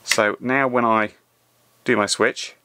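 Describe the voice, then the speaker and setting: A man's voice: on the low side, fairly even intonation, slow, bright, forceful tone, slight background noise. A man presenting a casual hands-on tutorial in a conversational, step-by-step way. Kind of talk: casual spoken tutorial over a hands-on demonstration.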